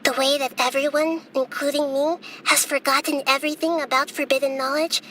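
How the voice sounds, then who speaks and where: A woman voicing a small, childlike goddess in a video game, gentle, wise and solemn, with a soft girlish voice and measured, earnest delivery. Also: high-pitched, steady tone, slight background noise. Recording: loud music behind. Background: loud game music.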